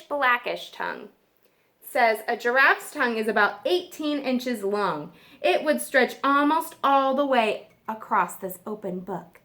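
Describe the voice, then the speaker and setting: A woman teacher reading a nonfiction book aloud to children, stressing key words and pausing for simple questions and explanations. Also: a little high, pushed and bright.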